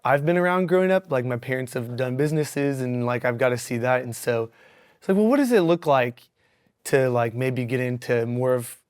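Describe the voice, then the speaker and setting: A young man with a hoarse, raspy voice from yelling, chatting upbeat and animated as a dentist guest on a local talk show. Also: mid-range voice, moderate pace. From Missouri Ozarks. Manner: upbeat, animated, many fillers. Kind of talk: casual interview.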